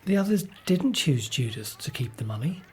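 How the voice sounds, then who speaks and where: An actor playing a plain-spoken, easygoing disciple in a radio play, casual and matter-of-fact. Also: male voice, mid-range voice, very animated, normal speed, a little mellow, breathy edge. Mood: matter-of-fact, questioning.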